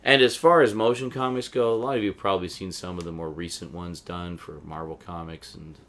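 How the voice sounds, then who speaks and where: A middle-aged man hosting a casual collector's vlog, talking conversationally and haltingly as he thinks aloud. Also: low voice, steady tone, a bit bright. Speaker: host of a collector's show.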